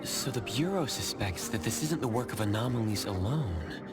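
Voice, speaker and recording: A man's voice: fairly low pitch, expressive, moderate pace, close to an everyday voice. A man playing an earnest, inquisitive young bureau newcomer in a video game, speaking in a questioning way. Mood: curious. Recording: faint music behind a dry voice.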